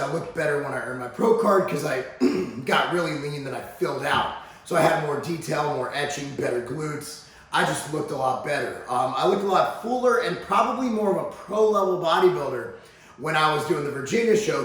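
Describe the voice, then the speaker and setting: An American man with a slightly hoarse voice talking casually and emphatically to viewers as a fitness vlog host. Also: fairly high pitch, fairly bright tone. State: slightly hoarse, voice recovering from laryngitis. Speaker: fitness channel host and supplement executive. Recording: very echoey, reverberant room.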